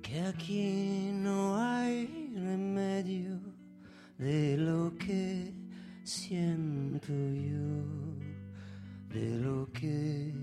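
A woman singing a slow, sad ballad with guitar accompaniment. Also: lowish voice, steady tone. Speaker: singer. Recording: loud guitar music with the voice.

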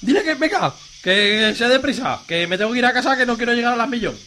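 A man with an Aragonese accent playing a drunk, excitable, foul-mouthed young man in a comic radio serial, slangy and blustering. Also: extremely high pitch, fast talker, exaggerated voice. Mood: confused. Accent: Aragonese Spanish. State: acting drunk.

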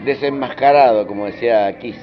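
A man with an Argentine accent answers questions informally, in a mid-pitched voice. His talk is slangy and repeats for emphasis. The sound is a little dull, with faint music behind him. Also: brisk. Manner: colloquial, slangy, emphatic repetition. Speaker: interviewed rock musician. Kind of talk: informal interview. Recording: dull radio interview over music.

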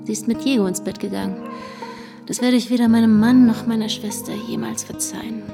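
Frank woman painter, high voice over music. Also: fairly even intonation, a little fast, creaky. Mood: frank, bitter. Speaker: radio play actress. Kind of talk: German radio drama acting.

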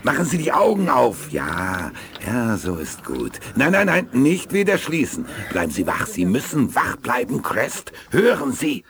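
An actor playing a firm, coaxing doctor in a science fiction radio drama. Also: male voice, mid-range voice, huge pitch swings, measured pace, vocal fry, fairly bright tone, a little hiss or hum, exaggerated voice. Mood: coaxing, insistent. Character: calm, firmly coaxing male doctor.

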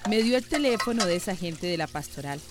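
A woman's voice: on the low side, animated, brisk, background noise, natural voice. A woman playing a stern, loyal, easily exasperated village mother in a radio soap. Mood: defensive. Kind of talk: radio soap opera acting.

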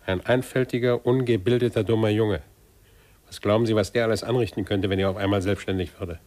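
A man's voice: lowish voice, steady tone, brisk, darkish tone, lightly acted. An actor playing a scheming, falsely fatherly middle-aged businessman in a 1950s German radio drama, unctuous and patronising. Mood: cold, domineering. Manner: unctuous, patronising, with smug chuckles.

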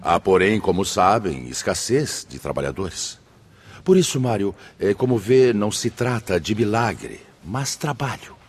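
A man acting a benevolent, authoritative spirit leader in a Brazilian radio drama, husky, formal and measured. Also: fairly low pitch, big pitch swings, heavily acted. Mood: earnest, encouraging. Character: benevolent, authoritative male spirit leader addressing his brothers.

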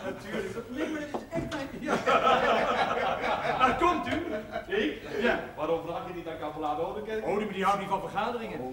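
High-voiced eager, affable man played by an actor. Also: normal speed, pauses often, crowd noise, natural voice. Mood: affable, amused. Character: eager, earnest, affable adviser to young workers. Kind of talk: Dutch 1970s television drama acting.

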